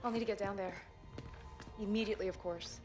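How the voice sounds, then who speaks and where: Brisk, driven starship scientist played by a woman. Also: medium pitch, fairly even intonation, over music, close to an everyday voice. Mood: urgent, intrigued. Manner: brisk, intent, businesslike. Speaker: voice actor in game cutscene. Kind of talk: scripted sci-fi drama.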